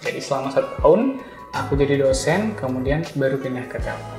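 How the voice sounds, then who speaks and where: A man's voice: mid-range voice, animated, fairly quick, a little mellow. Young man, echoey room, music behind. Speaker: interview guest, backend developer. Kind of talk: casual chat, explaining. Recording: roomy echo, music behind voice. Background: background music.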